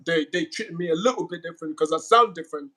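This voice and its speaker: A middle-aged man with a West African accent talks in a candid interview, and his voice sits high for a man. He speaks emphatically and doubles back on words as he drives each point home. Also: fast talker, bright, forceful tone. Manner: emphatic, repeats words when pressing a point.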